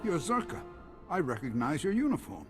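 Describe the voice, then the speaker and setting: An actor playing an anxious, proud old hermit farmer and former guard in a video game, hesitant and halting. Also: male voice, a little high, huge pitch swings. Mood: alarmed. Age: older. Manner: hesitant, halting, repeating himself. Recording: long hall reverb, music behind.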